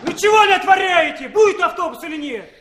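High-pitched cocky young dandy. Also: male voice, animated, fast talker, bright, forceful tone, heavily acted. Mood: loud, impatient. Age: young adult. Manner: breezy, teasing, boastful. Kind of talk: 1960s Soviet radio play acting.

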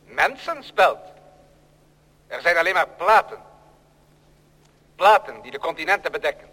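A man's voice: on the high side, animated, a little slow, bright, forceful tone, exaggerated voice. A man playing a coldly menacing machine in an old radio drama, declaiming ominously. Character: machine producing plates, coldly menacing, prophesying domination. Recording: long reverberant hall.

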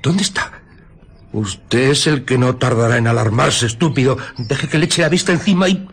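An actor playing a grumbling, frightened, bumbling tramp in a radio drama, stammering and muttering. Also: male voice, medium pitch, moderate pace, slightly rough. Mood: bewildered, indignant. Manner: stammering, muttering, easily flustered.

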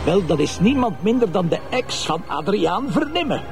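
A man's voice: high voice, very animated, normal speed, gravelly, music in the background, full character voice. A man playing a shrewd Flemish police investigator who presses with pointed questions in a TV crime drama. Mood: insistent, probing. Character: shrewd, insistent male police investigator reasoning through a case. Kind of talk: naturalistic TV crime drama acting.

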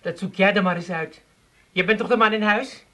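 A woman's voice: medium pitch, brisk, sharp tone, natural voice. An actress playing a fed-up, overworked Amsterdam cleaning woman and wife in a comic radio drama, blunt and complaining, with an Amsterdam accent. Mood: defiant. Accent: Amsterdam Dutch.

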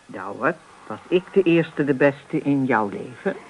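A woman's voice: a bass voice, lively intonation, slow, darkish tone, a little hiss or hum, full character voice, poor audio. An actress playing a knowing wife who challenges her husband in a radio play, with pointed delivery. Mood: gently challenging.

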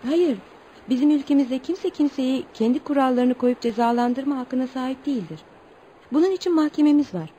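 Wistful young tribeswoman, high voice, formal storytelling delivery, radio play with light background noise. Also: lively intonation, a little fast, warm tone, rough recording. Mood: troubled, earnest. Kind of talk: radio theatre acting.

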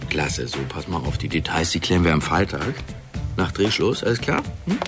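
An actor playing a slick, sleazy porn director in a radio drama, upbeat, chummy and wheedling. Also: male voice, deep voice, expressive, moderate pace. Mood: breezy persuasion. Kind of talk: radio crime drama acting. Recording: music behind the voice.

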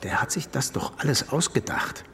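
An actor playing a defensive, indignant imprisoned swindler in a radio drama, speaking emphatically in clipped phrases. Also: male voice, normal speed, a bit bright, lightly acted. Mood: bitter. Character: imprisoned swindler, defensive, indignant man blaming his runaway partner. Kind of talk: German radio drama acting.

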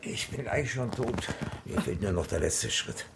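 An old widower close to death in a radio crime play. His voice is full of gravel and fry, and he speaks in wordy self-accusation, submissive and morbid. There is noise behind him. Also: fairly low pitch, expressive, normal speed. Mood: weary, self-pitying. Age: older. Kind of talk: German radio crime drama acting.

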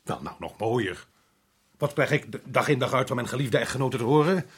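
A harried colonial island resident from a radio drama, indignant and defensive. His husky, mid-pitched voice moves widely between muttering and outbursts, with a sarcastic, exasperated edge. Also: expressive, brisk.

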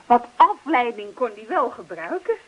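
A woman's voice: fairly high pitch, sing-song, moderate pace, husky, rough recording. A woman playing a sweetly insistent wife in a radio play, coaxing and mildly scolding. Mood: solicitous. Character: sweetly insistent wife prodding her husband.

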